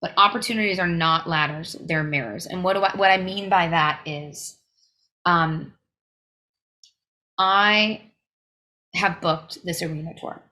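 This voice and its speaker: A woman's voice: lowish voice, measured pace, long pauses, pushed and bright. A young woman, a touring singer, giving earnest, emphatic advice in a casual podcast interview, with fillers along the way. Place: home recording, podcast interview.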